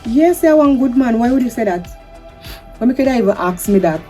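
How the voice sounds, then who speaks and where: A woman's voice: on the high side, animated, brisk, dark tone, faint music behind, close to an everyday voice. A woman playing a defensive, anxious wife who keeps repeating her questions. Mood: puzzled. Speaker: wife in a domestic drama skit. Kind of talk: improvised-sounding skit acting.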